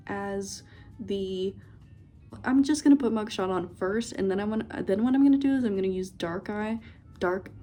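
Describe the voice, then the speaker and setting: Chatty mellow-voiced young woman. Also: mid-range voice. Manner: chatty, rambling, playful. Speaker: beauty vlogger. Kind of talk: casual get-ready-with-me chat. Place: dorm room, indoors.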